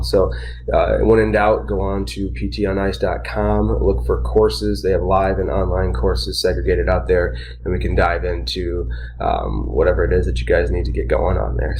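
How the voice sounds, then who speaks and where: Nasal-sounding man, deep voice, relaxed rambling host of a live podcast. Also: a little hiss or hum. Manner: relaxed, rambling with many fillers. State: nasal from a sinus infection. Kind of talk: casual live educational podcast.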